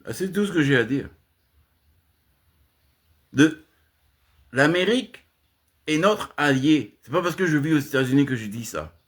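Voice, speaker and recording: A fairly high-voiced man holds forth in a heated monologue for his channel. He lurches between emphatic, raised bursts and low, muttered asides. His sentences often stop and restart as he hunts for the point. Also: lively intonation, unhurried, long pauses, breathy edge. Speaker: channel host giving a monologue. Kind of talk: impassioned spoken rant.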